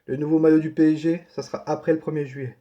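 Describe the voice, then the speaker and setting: A man's voice: fairly high pitch, level tone, fast talker. A man with a head cold, giving a casual football news update in a colloquial way, repeating points for emphasis. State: has a cold. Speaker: host of a football transfer news channel. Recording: dull, lo-fi sound.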